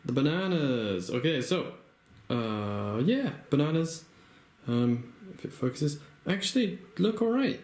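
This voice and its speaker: A man's voice: medium pitch, a little mellow. Chatty man with ums, echoey room. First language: not Japanese. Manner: chatty, hesitant, lots of ums and uhs. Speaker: crafting vlogger. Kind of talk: casual craft tutorial narration. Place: home room, working at a table.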